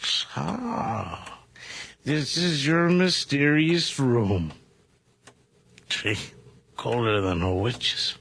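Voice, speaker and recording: An actor playing a bitter, tipsy servant muttering sardonically to himself in a radio horror drama. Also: male voice, mid-range voice, sing-song, drawn-out words, creaky, long pauses, fairly bright tone, breathy edge, exaggerated voice. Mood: bitter, mocking. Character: bitter tipsy servant toasting and cursing his dead master. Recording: faintly noisy old radio drama recording.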